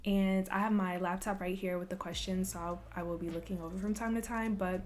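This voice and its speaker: A young woman from Louisville, Kentucky, chatting casually in a Q&A vlog, soft-spoken and relaxed with frequent ums. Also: medium pitch, fairly quick. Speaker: vlogger. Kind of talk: casual Q&A chat.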